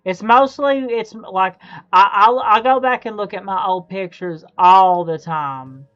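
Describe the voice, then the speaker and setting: A man in his thirties chats casually while streaming a game, with a smooth voice and a slow pace. He is halting, often circling back to repeat a phrase. The sound is slightly dull, with faint music underneath. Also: sits high, a bit bright. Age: 30s. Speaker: live streamer. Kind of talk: casual chat while gaming.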